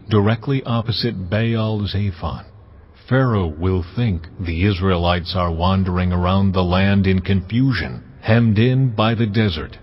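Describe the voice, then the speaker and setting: An actor playing God in a dramatized audio Bible, speaking slowly and solemnly. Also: male voice, low-pitched, a little hiss or hum. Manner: slow, solemn, weighty delivery. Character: God, commanding and majestic divine voice. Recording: dull, muffled sound under loud music.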